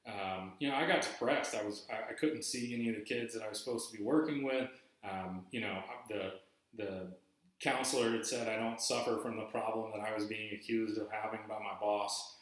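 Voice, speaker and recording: A man's voice: lowish voice, steady tone, a little fast, fairly bright tone. Rambling man in an echoey room. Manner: rambling, many restarts and fillers. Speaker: podcast host. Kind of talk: casual storytelling monologue.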